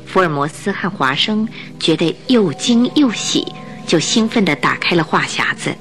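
A woman narrating a scripted radio drama in an expressive storytelling manner. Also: lowish voice, moderate pace, soft background music.

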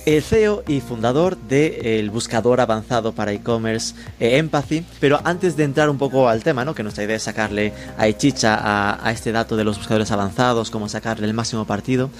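A man's voice: mid-range voice, fairly quick, few pauses. Chatty male podcast host, faint music behind. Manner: chatty, jokey questioning. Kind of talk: casual interview hosting.

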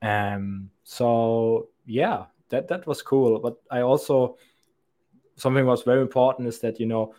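A young man talking as a podcast guest at an ordinary middle pitch. He wanders through his answers thoughtfully, doubling back on words and restarting sentences. Now and then a soft laugh slips in. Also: a little slow. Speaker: podcast guest, sales account executive. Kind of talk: conversational interview answers.

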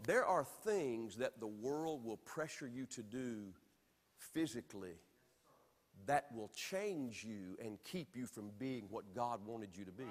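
A man from Alabama with a Southern accent, preaching a sermon from the pulpit with emphatic swings between loud and soft. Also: mid-range voice, expressive, a little slow, in a large hall. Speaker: pastor. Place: church sanctuary.

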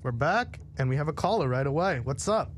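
A man's voice: on the high side, lively intonation, fairly quick. An eighteen-year-old man from New Jersey co-hosting a show in casual, joking chat. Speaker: co-host. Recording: faint background noise, room ambience.

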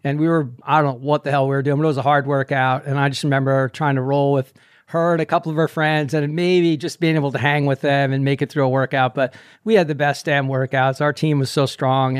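A man's voice: medium pitch, steady tone, fairly quick, clean tone, no echo, studio quality. A man in his sixties hosting a running podcast over a video call, chatty and upbeat. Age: 60s. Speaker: podcast host. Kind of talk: casual interview chat.

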